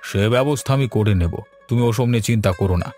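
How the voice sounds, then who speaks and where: A man playing an authoritative, principled village gentleman who loves food, speaking firmly in a cartoon. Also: on the low side, quick pace, close to an everyday voice. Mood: firm, assured. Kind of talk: Bengali cartoon voice acting. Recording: music behind the voice.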